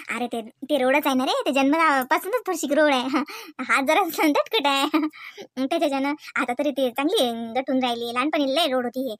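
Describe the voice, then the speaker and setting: A woman's voice: high voice, normal speed, a bit bright, no echo, studio quality. A woman playing a doting, chatty village mother in a Marathi comedy cartoon, with a rural Vidarbha accent, cooing in baby talk and teasing. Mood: teasing, amused.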